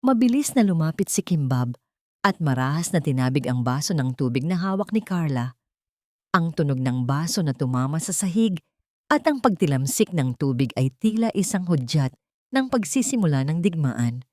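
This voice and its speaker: Low-voiced woman narrating melodrama. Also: sing-song, moderate pace, darkish tone, dry recording, clean studio sound. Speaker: audio drama narrator. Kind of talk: dramatic scripted storytelling.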